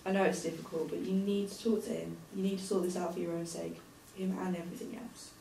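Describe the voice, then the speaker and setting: A woman's voice: lowish voice, flat delivery, quick pace, gravelly, warm tone. A woman reading the part of a caring, teasing young friend at a drama table read, recorded at a distance in an echoey, noisy room. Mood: encouraging.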